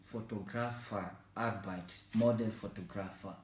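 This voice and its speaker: A man in his twenties from Kenya, whose first languages are Mijikenda and Swahili, speaking careful learner's German with frequent pauses and fillers. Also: on the low side, fairly even intonation, roomy sound, a little hiss or hum. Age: 20s. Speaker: German learner in a practice interview. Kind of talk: slow, halting learner German with many fillers.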